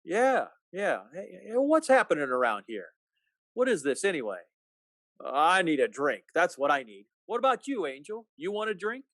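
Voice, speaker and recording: A man playing a despairing, sardonic small-town family man in a radio play, with a halting, folksy, skeptical drawl. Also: high voice, sing-song, fairly bright tone. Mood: bewildered, sardonic. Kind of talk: 1940s-style radio play acting.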